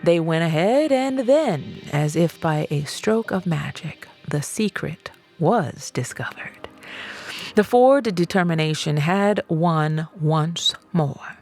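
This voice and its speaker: A woman reading a self-help audiobook in a measured, emphatic storytelling manner. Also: low-pitched, animated, a little mellow, soft background music. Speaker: audiobook reader. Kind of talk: scripted narration of a self-help book.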